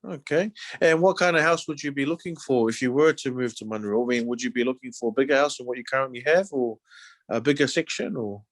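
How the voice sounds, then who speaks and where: A man's voice: mid-range voice, fast talker, no room sound. Casual New Zealand man, close dry sound. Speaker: real estate agent. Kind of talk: casual, conversational sales talk.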